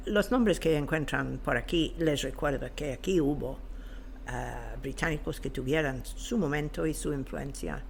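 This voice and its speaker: Older woman, slow and halting. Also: low voice, gravelly, a little mellow, a little hiss or hum. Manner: halting, searching for words. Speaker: podcast guest. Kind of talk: interview answers, reflective.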